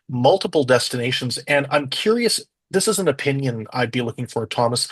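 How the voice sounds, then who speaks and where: A man hosting an interview and asking his guest measured, polite questions. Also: mid-range voice, breathy edge, no echo. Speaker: podcast host, interviewer.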